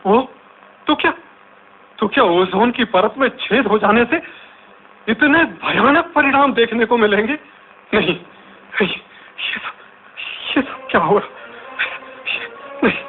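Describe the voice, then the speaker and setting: Frightened, high-pitched stammering man. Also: expressive, measured pace, long pauses, a little hiss or hum. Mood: shocked, dismayed. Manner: stammering, repeating words, exclamatory. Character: frightened, astonished human man facing terrible apparitions. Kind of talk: radio drama acting. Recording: tinny phone-like audio with music.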